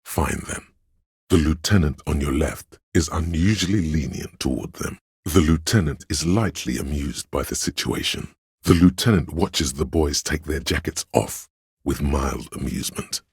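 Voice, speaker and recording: Gravelly, extremely deep brooding narrator. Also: male voice, darkish tone, no echo, studio quality, heavily acted. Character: brooding inner voice narrating police drama. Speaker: game narrator voice. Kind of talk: literary scripted narration.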